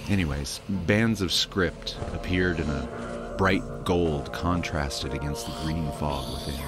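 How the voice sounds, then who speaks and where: A haunted adventurer played by a man speaks in a very low, brooding voice, telling his tale like audio drama. Loud music runs beneath him. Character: haunted young adventurer recounting a childhood dream. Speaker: character narrator in an audio drama. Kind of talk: dramatic first-person storytelling.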